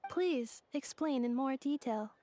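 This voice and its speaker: A woman's voice: sits high, a little slow, dark tone, slight background noise. A woman voicing a polite female robot with failing memory in a video game, speaking in short formal sentences. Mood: polite, puzzled. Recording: music behind the voice.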